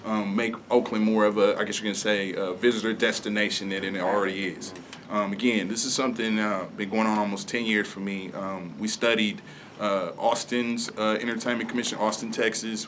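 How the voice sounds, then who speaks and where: A man's voice: on the low side, level tone, fairly quick, fairly bright tone, a little hiss or hum. A man presenting a proposal at a city hall community meeting, speaking conversationally with frequent fillers.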